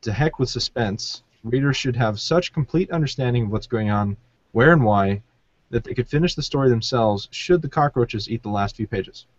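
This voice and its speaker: A man's voice: mid-range voice, animated, normal speed, no room sound, muffled recording. A man co-hosting a casual book discussion, thinking aloud in a hesitant way. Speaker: podcast co-host.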